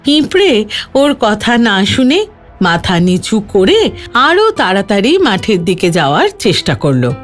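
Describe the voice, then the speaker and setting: A woman narrates a children's moral tale in an animated voice that rises and falls freely. Her pitch sits in a middle range, and gentle music runs behind the scripted storytelling. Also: unhurried.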